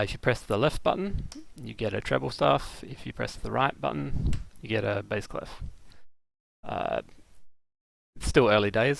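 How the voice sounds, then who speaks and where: A man giving a casual, unscripted software tutorial, thinking aloud in a halting, hesitant way with dry, self-deprecating humour. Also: fairly low pitch, vocal fry, no echo. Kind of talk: casual unscripted screen-recorded software demo.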